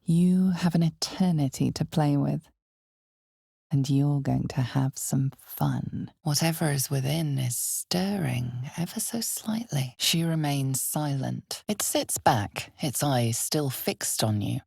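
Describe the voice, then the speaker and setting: A woman playing an ominous, all-knowing narrator of a dark fantasy video game, speaking in a measured, dramatic way with hushed intensity. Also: low-pitched, vocal fry, slightly rough, no room sound, clean studio sound, heavily acted. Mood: hushed, foreboding. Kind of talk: scripted second-person fantasy game narration.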